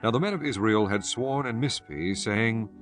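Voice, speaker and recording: A man narrating scripture for an audiobook in a formal, measured storytelling manner. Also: fairly low pitch, faint music behind.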